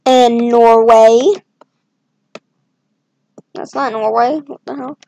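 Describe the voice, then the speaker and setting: A woman's voice: a little high, fairly even intonation, takes it slowly, vocal fry, mellow, dry recording, poor audio. A woman talking to herself in casual, rambling commentary as she draws a map on screen, with jokey asides. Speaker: map-making video creator. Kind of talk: casual commentary while drawing.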